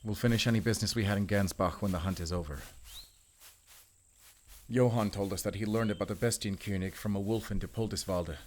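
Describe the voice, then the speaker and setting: A man playing a weary, protective werewolf hunter in an audio roleplay, earnest and soft-spoken. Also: on the low side, a little fast, a little hiss or hum, lightly acted. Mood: focused, businesslike. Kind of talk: intimate cinematic audio roleplay.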